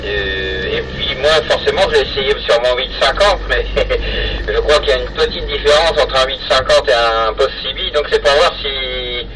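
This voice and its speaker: A middle-aged man with an accent from France, chatting casually and haltingly over a two-way radio. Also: mid-range voice. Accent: French, from France. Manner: hesitant, halting. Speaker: amateur radio hobbyist. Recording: distant, very noisy FM radio contact.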